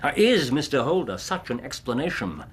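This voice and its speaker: An actor playing an incisive, eccentric Victorian gentleman detective in a radio drama, precise, probing and theatrical, with an English accent. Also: mid-range voice, very animated, moderate pace, breathy edge. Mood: incisive reasoning.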